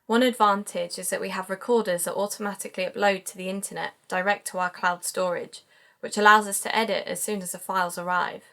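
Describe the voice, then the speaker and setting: A woman's voice: medium pitch, steady tone, brisk, creaky, sharp tone. A woman playing a young amateur-detective podcaster in an audio drama, speaking in a natural conversational way. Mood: serious.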